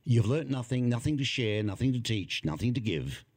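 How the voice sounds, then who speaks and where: A man's voice: medium pitch, expressive, normal speed, no room sound, studio quality. A man hosting a conversational interview, asking questions and musing aloud. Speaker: host.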